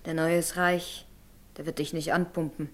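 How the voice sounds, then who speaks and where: An actress playing a hard, proud and bitter carter's wife with a Silesian accent, blunt and dramatic, in a radio play. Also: female voice, deep voice, little pitch movement, normal speed, lightly acted. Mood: bitter, defiant. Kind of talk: naturalistic German radio play acting.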